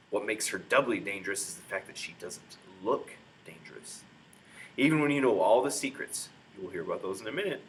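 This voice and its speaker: A man's voice: medium pitch, pushed and bright, low-quality recording. A man reading a children's book aloud in a dramatic, emphatic storytelling manner. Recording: clear background noise, room ambience.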